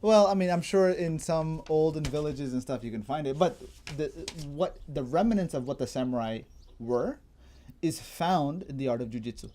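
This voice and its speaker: A man in a casual chat, explaining history as a guest in an informal, digressive way, as if thinking out loud. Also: fairly high pitch, moderate pace, slight background noise. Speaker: guest martial arts enthusiast explaining history.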